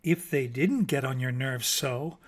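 A man's voice: medium pitch, unhurried, close to an everyday voice. A man voicing a frank, well-meaning chipmunk in a storybook, earnest and slightly anxious. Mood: helpful, uneasy.

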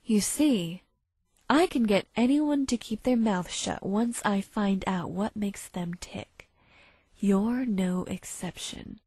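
Woman as a cold, husky pony blackmailer. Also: medium pitch, mellow, dry recording. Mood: smug, explaining. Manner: smug, measured, threatening. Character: cold, calculating female unicorn pony blackmailer. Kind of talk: visual novel fan dub acting. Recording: faint music behind.

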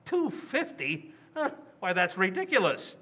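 Bright, lively, high-voiced actor as a blowhard father who mangles big words, dull lo-fi radio sound. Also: a little slow, breathy edge, full character voice. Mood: blustery. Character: blustery working-class father, stubborn and boastful. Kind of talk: old-time radio play recreation.

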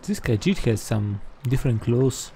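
Gravelly man musing through a game. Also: medium pitch, expressive, dark tone, slight background noise. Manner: halting, musing to himself. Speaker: gamer doing a roleplay playthrough. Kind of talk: in-character gameplay commentary. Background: game music.

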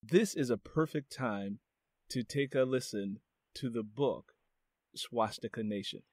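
A man hosting a book interview podcast, reading a historical passage aloud in a measured, steady storytelling manner. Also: mid-range voice, dry recording.

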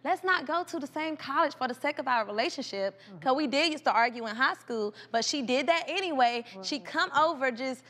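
A young woman guest on a talk show, telling personal stories in an animated, indignant, colloquial way. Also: high-pitched, brisk, few pauses. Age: young adult. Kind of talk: emphatic personal storytelling. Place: large TV studio with audience. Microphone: clip-on lapel mic. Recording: reverberant TV studio.

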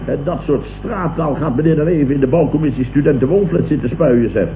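A man's voice: fairly high pitch, a little fast, narrow, tinny audio, close to an everyday voice. Casting: male actor as blustering, self-satisfied company boss for vintage radio comedy; thin noisy sound, mocking repeats. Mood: blustering, smug. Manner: pompous, repeats others' words mockingly. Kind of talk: 1970s Dutch radio comedy acting. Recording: noisy, roomy old radio broadcast recording.

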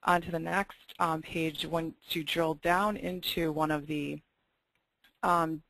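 A webinar presenter talks an audience through a series of slides, explaining each point plainly and now and then stumbling over a filler. The voice carries a gravelly, creaky edge, and it sounds dry and close, with no room around it. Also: mid-range voice, little pitch movement, takes it slowly, fairly bright tone, no echo, low-quality recording. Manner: clear, explanatory, occasional fillers. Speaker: web design presenter.

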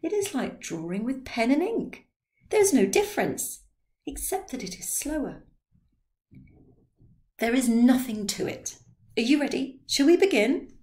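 A woman voicing a blunt, skeptical young male painter who speaks in a flat, deliberate way. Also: sits high, voice pushed up. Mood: instructive.

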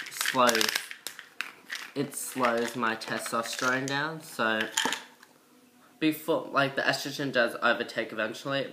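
A young woman with a low, masculine-sounding voice and a slight cold, chatting casually to camera in a rambling, self-conscious way. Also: very noisy. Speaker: vlogger. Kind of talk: casual chat to camera, transition update. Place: indoor room by a window. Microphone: built-in device mic at arm's length.

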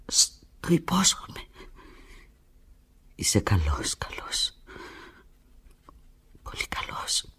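An actress playing a desperate, lovelorn woman on the telephone to the lover who left her, in a theatrical radio drama monologue, halting and emotional with a breathy, husky voice. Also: very deep voice, full character voice. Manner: halting, emotional, swinging from hushed murmurs to urgent cries.

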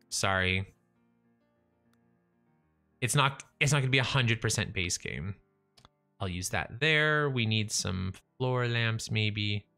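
Young guy rambling while he streams, kinda losing his voice, bright tone, faint music behind. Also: fairly low pitch. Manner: chatty, self-talking, restarts and trails off. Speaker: livestreaming gamer. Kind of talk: casual live-stream chat while building. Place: small room at home. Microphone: studio mic in front of face.

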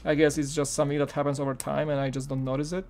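A man's voice: a little high, flat delivery, very fast, darkish tone, a little hiss or hum. A man in his thirties from Poland with a Polish accent, chatting casually on a live stream while building, with lots of fillers and restarts. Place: home studio room.

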